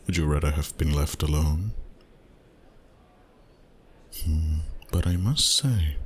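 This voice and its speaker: A man voicing a dominant, flirty, suave vampire boss in an intimate roleplay ASMR style, teasing and unhurried, with courtly phrasing and pet names. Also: a bass voice, a little hiss or hum. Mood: smooth, possessive.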